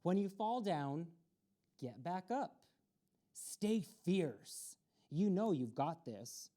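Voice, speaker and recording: Deep-voiced woman reading to children. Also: big pitch swings, slow, dark tone. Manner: encouraging, talks straight to children. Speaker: storytime reader for children. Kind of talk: reading a children's picture book aloud. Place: community access TV studio.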